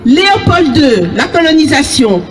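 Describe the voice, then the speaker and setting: A woman activist giving an impassioned, emphatic public speech at a rally, shouting her points. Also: sits high, very animated. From raised in Belgium. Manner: shouting, emphatic, slow and hammering. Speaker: activist speaker. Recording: live crowd and music behind.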